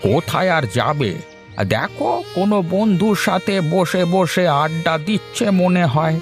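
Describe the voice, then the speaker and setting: A man's voice: high-pitched, a little slow, faint music behind. Man acting a weary, jobless village husband. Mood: defensive. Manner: plaintive, resigned. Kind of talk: Bengali cartoon drama dubbing.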